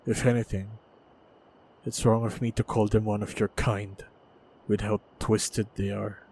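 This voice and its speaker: Man acting a low, guarded, battle-worn monster hunter. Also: level tone, a little mellow, a little hiss or hum. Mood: solemn, respectful. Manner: measured, halting, guarded delivery with heavy sighs. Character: wounded, battle-hardened lone male monster hunter, wary, stoic. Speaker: voice actor in audio roleplay. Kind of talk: dramatic fantasy audio roleplay acting. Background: faint ambient sound effects.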